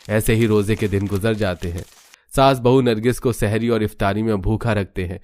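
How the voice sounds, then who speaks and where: Low-voiced man narrating a story. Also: darkish tone. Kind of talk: scripted cartoon story narration.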